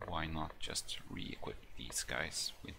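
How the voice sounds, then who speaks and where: Brief: man, deep voice, hesitant walkthrough, noisy home recording. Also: gravelly. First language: not English. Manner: hesitant, halting delivery full of ums. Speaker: hobbyist video maker. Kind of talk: casual walkthrough with many fillers.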